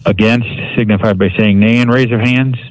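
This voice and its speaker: Lowish-voiced man chairing a formal meeting. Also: steady tone, fairly quick, no echo, rough recording. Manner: procedural, formulaic calls for motions and votes. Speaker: board chairman running the meeting. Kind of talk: formal parliamentary procedure. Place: government board meeting.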